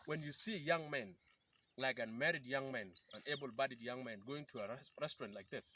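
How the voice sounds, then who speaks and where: A man's voice: medium pitch, brisk, background noise. A man in his thirties from South Sudan, explaining earnestly as a guest in an outdoor interview by a river, often restarting his sentences. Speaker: peace activist guest. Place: outdoors by a river in rural bushland.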